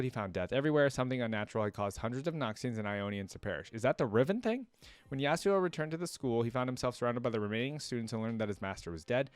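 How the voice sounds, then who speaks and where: A man's voice: lowish voice, no room sound. A man hosting a casual reaction video, reading aloud and breaking off into informal, rambling asides. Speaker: reaction video host reading and commenting on game lore.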